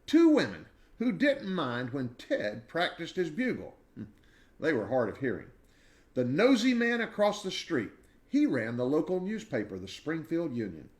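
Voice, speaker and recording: Man reading a storybook with lively pitch. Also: a little slow. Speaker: reader of a children's book. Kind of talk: expressive read-aloud storytelling.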